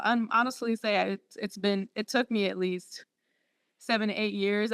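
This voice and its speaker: A woman in her late twenties, from Syria, speaks. Her voice is smooth and resonant, recorded close and dry. She tells her story earnestly, stopping often to restart her sentences. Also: mid-range voice, no echo. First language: Arabic. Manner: earnest, halting with many fillers and restarts. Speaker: podiatry student guest. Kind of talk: personal story in interview.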